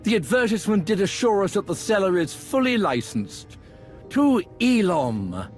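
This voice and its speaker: Fretful, high-voiced scholarly archaeologist man. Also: sing-song, moderate pace, soft background music. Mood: curious, reassuring. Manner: wordy, bookish, polite phrasing. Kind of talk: video game cutscene acting.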